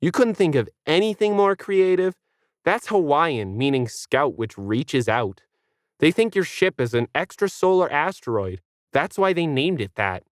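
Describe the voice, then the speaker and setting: A man's voice: on the high side, expressive, measured pace, no echo, clean studio sound. A man voicing a bossy, haughty female talking dog, commanding and indignant, in an audio science fiction story. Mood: scornful.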